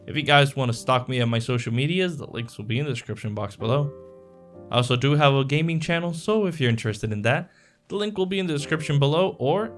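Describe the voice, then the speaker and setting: A man hosting his audio channel, chatting casually to viewers in a rambling, self-interrupting way. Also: medium pitch. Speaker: audio roleplay creator and channel host.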